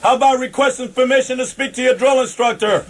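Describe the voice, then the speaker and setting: High-pitched, sarcastic, commanding male drill instructor. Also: steady tone, fast talker. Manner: sarcastic, commanding, badgering with repeated rhetorical questions. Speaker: Marine Corps drill instructor, studio guest. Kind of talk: drill instructor berating a recruit. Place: radio studio.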